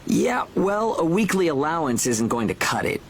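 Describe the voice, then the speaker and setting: A man's voice: a little high, big pitch swings, normal speed, slight background noise. An actor playing a cocky, pragmatic young male mercenary in a video game, talking in a casual, blunt, self-assured way. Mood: bargaining. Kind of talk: video game cutscene acting. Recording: game music behind the voice.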